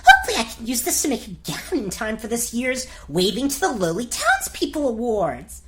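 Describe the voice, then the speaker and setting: A woman's voice: on the high side, wildly swooping pitch, brisk, a bit bright, faint music behind. A woman voicing a spoiled, airheaded young princess in a comedic fairy-tale parody, haughty and whiny. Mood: haughty, giddy.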